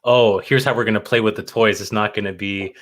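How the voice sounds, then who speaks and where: A man's voice: lowish voice, fairly even intonation, fairly quick, fairly bright tone. A man hosting an interview, chatty and enthusiastic, asking long rambling questions. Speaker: podcast host, interviewer. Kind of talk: casual interview conversation.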